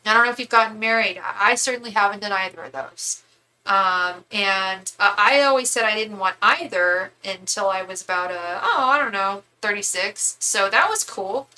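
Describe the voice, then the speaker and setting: Bright-voiced chatty woman in her thirties. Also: medium pitch, lively intonation, moderate pace, slight background noise, poor audio. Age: 30s. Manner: chatty, rambling, many asides and restarts. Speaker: tarot reader. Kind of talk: live tarot reading for viewers.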